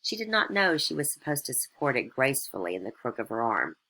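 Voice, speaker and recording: Casting: female storyteller for satirical fairytale narration, extremely low pitch, bright forceful tone. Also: big pitch swings, quick pace, a little hiss or hum.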